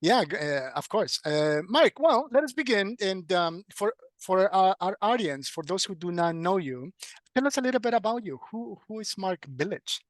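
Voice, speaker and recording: Higher-voiced male podcast host, halting delivery. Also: animated, no room sound. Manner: halting, hesitant delivery with repeated words. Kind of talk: hosting an online interview.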